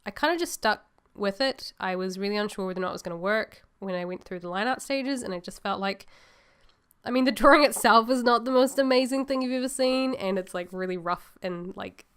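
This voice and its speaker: A chatty woman talks to her viewers over a drawing video, her voice stuffy and nasal from a cold. Despite the sore throat, her tone stays smooth and clean, with a mid-height pitch. She rambles in an upbeat, friendly way. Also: fairly quick, a bit bright. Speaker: illustrator vlogger. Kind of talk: casual voice-over chat to viewers.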